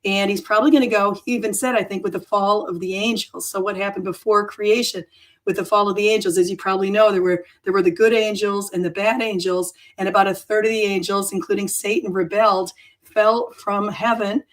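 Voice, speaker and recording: Hoarse woman hosting an off-the-cuff livestream. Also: medium pitch, steady tone, a little fast, low-quality recording. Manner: rambling, self-correcting, chatty. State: hoarse, froggy voice.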